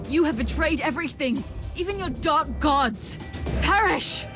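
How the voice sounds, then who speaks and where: A woman's voice: high-pitched, animated, measured pace. An actress voicing a righteous, fierce female avenger, declamatory in a video game cutscene. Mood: righteous fury. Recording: music behind the voice.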